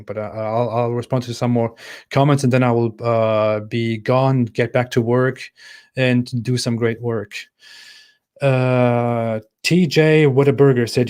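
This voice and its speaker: A man of middling pitch hosting a relaxed live Q&A from a home mic. He talks in a halting, thinking-aloud way, reading viewer comments out and answering each one. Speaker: channel host answering live chat. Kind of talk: casual livestream Q&A.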